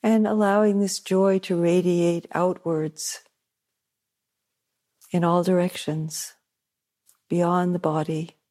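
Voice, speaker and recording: A woman meditation teacher leading a guided meditation at a retreat center, soft-spoken, with long pauses and gently repeated phrases. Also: lowish voice, little pitch movement.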